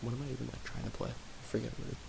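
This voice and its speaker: A young man talking quietly in a raspy voice, rambling with fillers as he thinks aloud while making music. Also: medium pitch, monotone, very fast. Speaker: music producer streaming. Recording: home recording with audible hiss.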